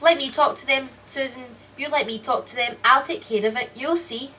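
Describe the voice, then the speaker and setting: A woman's voice: sits high, normal speed, bright, forceful tone, a little hiss or hum. An actress playing a hard, insistent girl, a dream double, in an old radio suspense drama. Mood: cool, firm. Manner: insistent, controlling. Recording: distant old radio recording.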